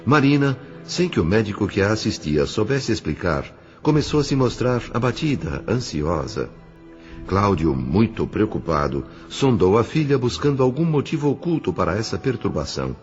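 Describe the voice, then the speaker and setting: A man with a Brazilian accent narrating a scripted audio novel in a measured, solemn way. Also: lowish voice, big pitch swings. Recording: muffled, with music underneath.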